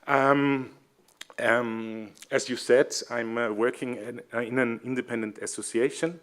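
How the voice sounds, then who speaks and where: A man's voice: mid-range voice, steady tone, a little slow, fairly bright tone. A young adult man with an Austrian accent giving a conference presentation, friendly and hesitant with many fillers. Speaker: seminar speaker, civic educator.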